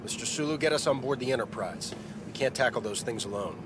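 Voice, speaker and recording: A man's voice: mid-range voice, expressive, quick pace, very noisy, natural voice. A man playing a cocky, informal young starship captain in a cinematic video game. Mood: tense, commanding. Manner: informal, commanding. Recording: loud music behind the voice.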